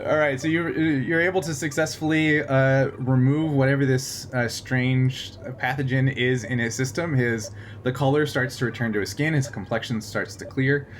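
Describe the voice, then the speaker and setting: Male game master, mid-pitched, informal and thinking aloud, some background noise. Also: fairly even intonation, moderate pace. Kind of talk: narrating a tabletop role-playing game.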